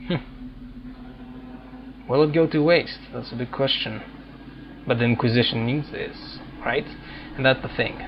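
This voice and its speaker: A man's voice: mid-range voice, unhurried, pauses often, lo-fi sound, slight background noise. A man giving casual let's play commentary, musing and hesitantly thinking aloud. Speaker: gaming video host.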